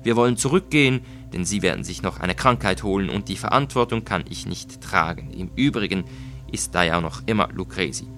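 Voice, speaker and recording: Scheming, polite nobleman, voiced by a male reader: low, measured, insistent, literary audio story over music. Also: lively intonation, a bit bright. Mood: feigned concern. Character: cunning avenging nobleman feigning polite concern.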